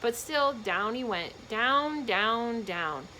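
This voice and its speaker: A woman's voice: a little high, expressive, slow, pushed and bright, slight background noise, poor audio. A woman reading a children's storybook aloud expressively, with casual explanatory asides to young listeners.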